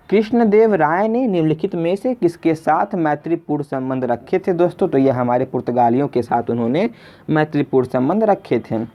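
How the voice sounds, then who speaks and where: A man hosting an exam lesson in a question-and-answer style, addressing listeners as friends and repeating his questions. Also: a little high. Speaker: quiz teacher and video host. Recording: dull lo-fi voice recording.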